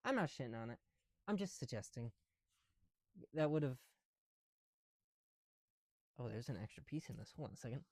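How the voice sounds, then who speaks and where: A mellow, dark-toned voice rambles casually in an ASMR style. The talk is informal and a little sweary, as if thinking aloud during a hands-on task. The sound is close and very dry. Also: mid-range voice, very animated, normal speed, long pauses, dry recording. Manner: informal, muttering to self, sweary. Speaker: ASMR video creator. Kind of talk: casual rambling ASMR while building with bricks.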